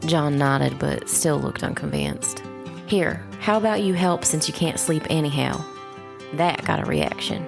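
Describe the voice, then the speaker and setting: A woman playing a wary, resolute young witch who narrates a dark fantasy audio drama in a measured storytelling way. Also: low-pitched, creaky. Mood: encouraging. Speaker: first-person narrator. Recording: music bed under the voice. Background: music.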